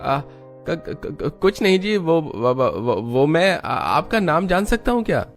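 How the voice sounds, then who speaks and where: A man's voice: on the high side, big pitch swings, slow, faint music behind, pitched above the performer's own voice, heavily acted. A man voicing a smitten, polite young man from a wealthy family in a cartoon, with an eager manner. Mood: smitten, flustered.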